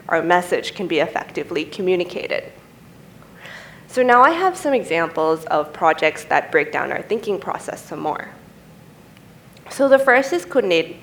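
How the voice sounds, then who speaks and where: Young woman, native Thai speaker, conference presenter; lowish voice, calm and explanatory, podium mic with faint room hum. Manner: measured, explanatory, steady delivery. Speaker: type designer giving a conference talk. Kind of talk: presenting slides at a design symposium. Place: conference stage, indoors.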